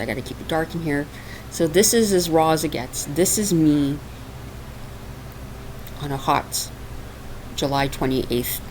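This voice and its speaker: A woman's voice: deep voice, poor audio. A woman hosting a spiritual podcast in a casual, chatty way, laughing often and using lots of fillers, recorded on a laptop microphone at home. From Portugal. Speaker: podcast host and minister. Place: dark hot room at home. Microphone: laptop microphone on her lap. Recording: raw home laptop recording with faint hiss.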